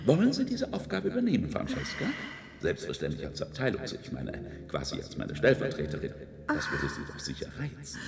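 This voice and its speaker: Pompous, wildly animated male boss. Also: mid-range voice, a little fast, gravelly, breathy edge, heavily acted. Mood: eager, persuasive. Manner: theatrical, lecturing, emphatic. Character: pompous, excitable male superior courting his deputy. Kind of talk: German radio play acting.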